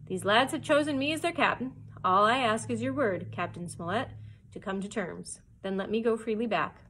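A woman's voice: fairly high pitch, normal speed, a bit bright, a little hiss or hum, natural voice, poor audio. A woman voicing a cheery, wily pirate captain in a storybook, hearty and folksy as he wheedles for a bargain.

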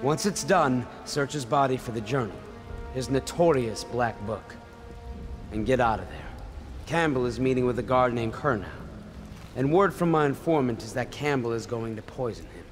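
Casting: male actor for a measured, dryly witty conspirator in a video game, articulate, mid-pitched, music underneath. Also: close to an everyday voice. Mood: grave, purposeful. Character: composed, wryly witty male conspirator held prisoner. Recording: music behind the voice.

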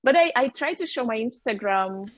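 A woman's voice: fairly high pitch, lively intonation, normal speed, no echo. A woman in her thirties with a Russian accent, chatting as the guest on a video call interview through wireless earbuds, with lots of fillers and laughter. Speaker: artist guest. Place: bright room with a wooden ceiling.

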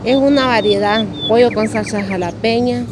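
A woman's voice: on the high side, normal speed, over music. A middle-aged woman with a Nicaraguan accent giving casual interview answers as a restaurant owner. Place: open-air roofed roadside restaurant. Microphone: handheld microphone on table in front.

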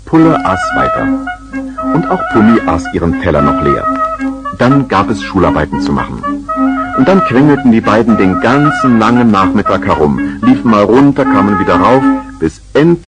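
A man's voice: on the low side, sing-song, normal speed, over loud music. A man narrating a children's radio play from the 1970s in a scripted storytelling style.

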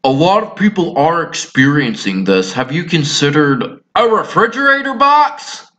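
A man's voice: a little high, big pitch swings, moderate pace, pushed and bright. A man voicing a tactless, blandly apologetic male funeral director in a cartoon, matter-of-fact and salesman-like. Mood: falsely sympathetic.

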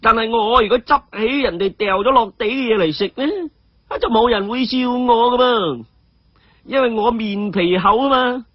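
A man voicing a cheeky, gleeful young martial hero in a story, with mocking chuckles and drawn-out exclamations. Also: extremely high pitch, no room sound, highs cut off, voice pushed up. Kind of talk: Cantonese storybook character acting.

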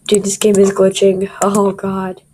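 Slow-talking young woman with a scratchy voice. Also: mid-range voice, monotone, a little mellow. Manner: jittery, swears often, talks to viewers. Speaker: gameplay commentator. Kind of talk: casual gameplay commentary.

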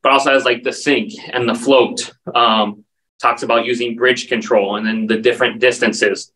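Man, forties, martial arts teacher, bright voice, flat delivery, distant video call sound. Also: medium pitch, moderate pace, a little hiss or hum. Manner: earnest, explanatory, lists terms steadily. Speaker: martial arts instructor, guest. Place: dim indoor room. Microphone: video call, standing back from camera.

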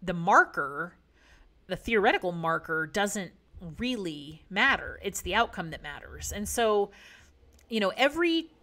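A woman's voice: medium pitch, very animated, unhurried, sharp tone. A woman physician hosting a solo podcast, explaining nutrition science in a conversational way with many fillers and emphatic asides.

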